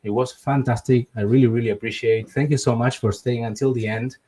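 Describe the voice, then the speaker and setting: A man's voice: mid-range voice, steady tone, fast, dark tone, husky. A middle-aged man from Argentina with an Argentine Spanish accent, hosting a webinar and giving its closing remarks earnestly, repeating words for emphasis. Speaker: webinar host and relocation advisor.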